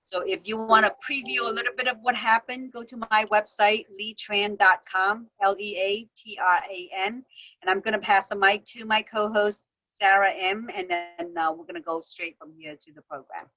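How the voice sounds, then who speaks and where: Bright-voiced woman host. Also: medium pitch, fairly even intonation, normal speed, low-quality recording. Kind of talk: hosting an online show.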